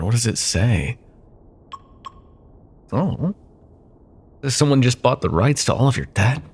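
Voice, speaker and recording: A man's voice: mid-range voice, creaky, breathy edge, full character voice. A man playing an arrogant, possessive corporate CEO ex-lover in a cyberpunk ASMR roleplay, sardonic and menacing, with condescending pet names. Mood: playful menace, then mock surprise. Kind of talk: ASMR roleplay, dramatic monologue.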